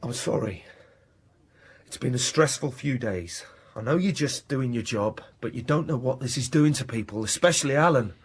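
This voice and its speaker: A man playing an earnest, persistent university researcher in a naturalistic radio drama, articulate and slightly husky. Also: mid-range voice, lively intonation, normal speed. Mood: apologetic, flirtatious.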